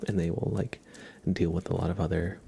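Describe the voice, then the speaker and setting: A man chatting casually on an ASMR livestream, soft-spoken and halting, often slipping into a whisper. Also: low voice, little pitch movement, gravelly, breathy edge.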